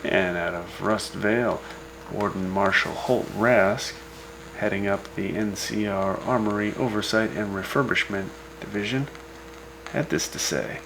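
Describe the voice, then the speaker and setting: Man, radio news host, deadpan, wry asides, gravelly, lowish voice. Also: unhurried, background noise. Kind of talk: scripted radio news broadcast.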